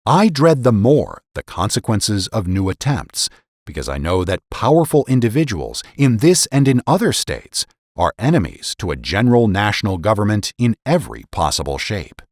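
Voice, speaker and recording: A man with a low-pitched voice reads a historical political essay aloud as a podcast narrator. His delivery is formal and measured, in an oratorical style with wide, expressive swings in intonation. The sound is dry and close. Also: no room sound.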